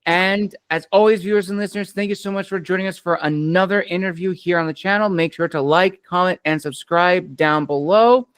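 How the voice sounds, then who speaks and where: A man's voice: high voice, fairly quick, few pauses, fairly bright tone, clean tone, dry recording. A man hosting an interview show, wrapping it up with an upbeat, formulaic sign-off. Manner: upbeat, formulaic announcer phrasing.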